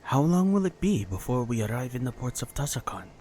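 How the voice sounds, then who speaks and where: A man's voice: medium pitch, big pitch swings, brisk, warm tone, a little hiss or hum, lightly acted. A man playing a reserved, matter-of-fact traveler in a fantasy audio drama. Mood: businesslike.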